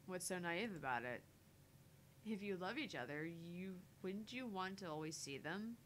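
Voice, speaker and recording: A shy but indignant young woman as a female gamer acts her out, defensive and exasperated. Her voice sits a little low with animated swings, over a faint rumble. It is visual novel dialogue. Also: moderate pace. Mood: earnest, challenging. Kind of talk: voice-acting visual novel dialogue and inner monologue. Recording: faint background rumble and noise.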